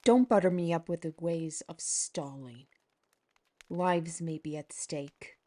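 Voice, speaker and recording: A woman's voice: on the low side, slow pace, dark tone. A woman playing a blunt, impatient heroine.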